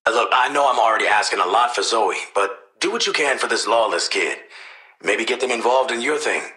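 A man's voice: mid-range voice, lively intonation, brisk, pushed and bright, roomy sound, rough recording. An American man voicing a cocky, world-weary assassin in a video game, with casual, slangy, wry delivery. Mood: earnest. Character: cocky, world-weary male assassin, gruff team player. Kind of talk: video game character voice lines.

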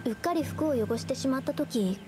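An actress playing a polite, timid young woman artist doing detective work in an anime game, speaking softly and hesitantly. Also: high-pitched, lively intonation, brisk. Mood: thoughtful, explaining. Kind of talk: Japanese anime game voice acting. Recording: music behind the voice.

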